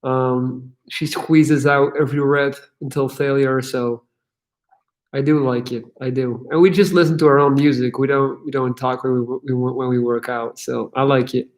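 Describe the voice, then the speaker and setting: A man hosting a casual livestream Q&A, answering viewer questions in an energetic, profane way with many fillers. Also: mid-range voice, normal speed.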